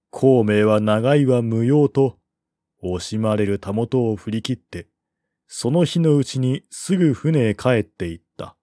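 A man narrating a historical novel as an audiobook, with a measured, expressive literary delivery. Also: medium pitch, dry recording, studio quality.

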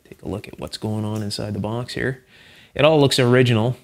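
A man hosting a hands-on electronics teardown, explaining things in an unhurried, methodical, conversational way. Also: on the low side, steady tone. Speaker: host, electronics restorer and teacher. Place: indoor electronics workshop, at the bench.

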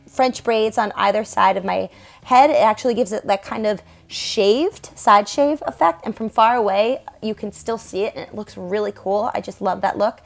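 A woman's voice: a little high, gravelly. A young woman, a stage performer, talking casually over her makeup tutorial. She is chatty and self-deprecating and laughs often.

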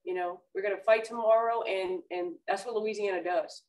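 A woman softball coach answering press questions at a table, speaking plainly and repeating words as she thinks. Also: medium pitch, fairly even intonation, normal speed, distant mic, poor audio. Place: press room, seated at a table.

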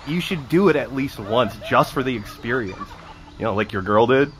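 An actor playing a smug, teasing teenage joker in a naturalistic audio drama. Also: male voice, medium pitch, lively intonation, normal speed, natural voice. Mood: goading. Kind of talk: naturalistic audio fiction acting.

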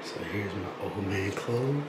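A man's voice: on the low side, takes it slowly, very noisy. A young man vlogging to a handheld camera, talking slangy and profane as he eagerly plots a prank. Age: young adult. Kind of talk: casual vlog to camera. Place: outdoors by a parking lot, then indoors. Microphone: handheld camera at arm's length.

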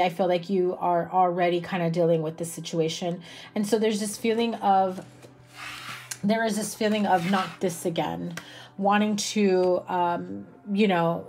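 A young woman giving a casual tarot reading to camera indoors, slightly hoarse from a cough, chatty with many fillers and a soft, reassuring manner. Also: on the low side. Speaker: tarot reader. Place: indoor room with a candlelit table.

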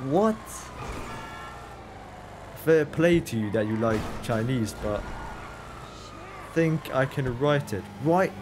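A man's voice: mid-range voice, expressive, slow pace, long pauses, background noise. A young man streaming a game live, reading chat aloud and answering it with incredulous, laughing banter.

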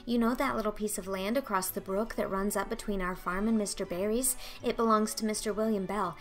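A woman's voice: medium pitch, steady tone, a little fast, natural voice. Storybook role: dreamy, talkative orphan girl, played by a woman, gushing, breathless, theatrical, faint music underneath. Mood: dreamy chatter. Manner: breathless, gushing, dramatic, flowery wording. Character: excitable, dreamy, talkative eleven-year-old orphan girl. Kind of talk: audiobook character acting.

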